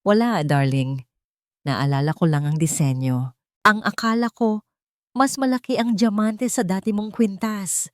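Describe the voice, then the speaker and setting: A woman's voice: medium pitch, no echo, clean studio sound, voice pushed up, lightly acted. A woman voicing a suspicious, guarded billionaire in an audiobook love drama. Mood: probing. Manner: measured, discreet, probing.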